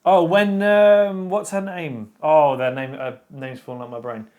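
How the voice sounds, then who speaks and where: A man's voice: fairly high pitch, fairly even intonation, measured pace, phone-quality sound. A man co-hosting a casual book discussion, speaking in a blunt, opinionated way. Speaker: book discussion show co-host. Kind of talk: casual opinionated chat about books.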